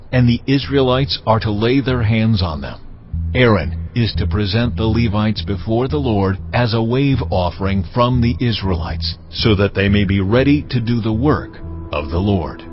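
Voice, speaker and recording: Man acting as a male divine figure, low voice, measured, commanding, solemn, audio drama, dull recording. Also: lightly acted. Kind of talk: dramatised scripture reading.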